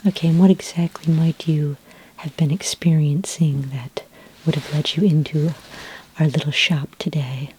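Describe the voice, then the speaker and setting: A woman plays a reassuring female skincare consultant in a sci-fi ASMR roleplay. Her voice is low, dark and breathy, often slipping toward a whisper. She speaks gently and politely, with small hesitations, as if patiently tending to a customer. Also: fairly even intonation, moderate pace, poor audio. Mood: attentive, polite. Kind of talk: soft-spoken ASMR roleplay.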